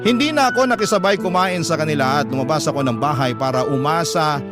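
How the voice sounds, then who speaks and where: A man's voice: on the high side, fast. A man with a Filipino accent narrating a scripted first-person story for a radio drama. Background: prominent background music.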